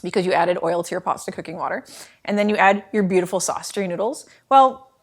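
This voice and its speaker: A woman's voice: fairly low pitch, quick pace. Chatty woman teaching pasta cooking. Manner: chatty and animated, lots of "like" and gestures. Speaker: cooking show host. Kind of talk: casual cooking lesson to camera. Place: home kitchen, indoors.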